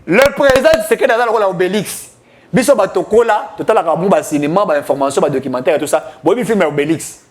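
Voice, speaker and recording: A man's voice: high voice, animated, fast. A man giving emphatic, declamatory political commentary, mixing French with Lingala.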